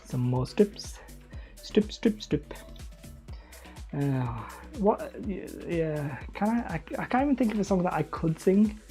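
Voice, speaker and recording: A man casually chatting on a livestream while he crafts hobby terrain, rambling and self-deprecating as he reads the chat aloud. He calls his own voice high and whiny. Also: animated, slow, warm tone, faint music behind. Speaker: hobby terrain-making streamer.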